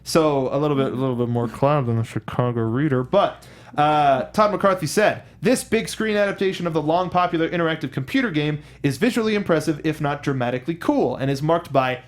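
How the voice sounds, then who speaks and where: Higher-voiced man in rambling film chat. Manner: rambling, halting, self-correcting. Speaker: film discussion show host. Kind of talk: casual movie review chat.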